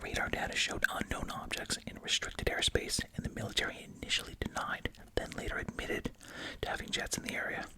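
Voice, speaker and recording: Hushed ASMR whisperer chewing gum. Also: a little fast, hardly any pauses. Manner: casual, musing, repeats short phrases; chewing gum while talking. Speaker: ASMR video creator. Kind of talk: ASMR whispering, reading case summaries with casual asides.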